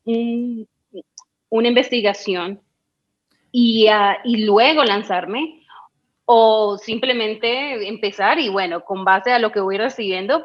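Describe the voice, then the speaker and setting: A woman's voice: mid-range voice, lo-fi sound. A woman in a casual conversation as a guest, thinking aloud and asking questions in a reflective way. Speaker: podcast guest asking for advice.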